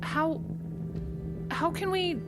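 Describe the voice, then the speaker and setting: An actress playing an anxious, questioning female time traveler in an audio drama, with an expressive delivery. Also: very high voice, extremely slow, loud music behind the voice, full character voice.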